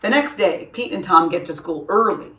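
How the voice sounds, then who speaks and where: A woman hosting a storytime, reading a children's picture book aloud in an expressive, deliberate way. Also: on the low side. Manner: expressive, deliberate, puts on character dialogue. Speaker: storytime host, reader. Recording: roomy echo, distant microphone.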